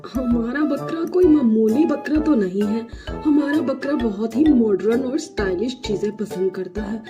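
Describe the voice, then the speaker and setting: A woman's voice: a little high, moderate pace, lo-fi sound, over music, natural voice. A woman playing a proud, insistent goat owner in a cartoon story. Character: proud woman defending her pampered pet goat.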